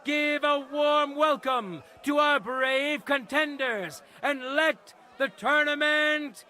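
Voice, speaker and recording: A man's voice: very high voice, takes it slowly, a little hiss or hum, exaggerated voice. A man playing a proud medieval tournament herald in a video game, proclaiming ceremoniously and projecting to a crowd. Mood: grand, welcoming. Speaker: tournament announcer. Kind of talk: theatrical game voice acting, public proclamation. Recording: loud music and crowd behind voice.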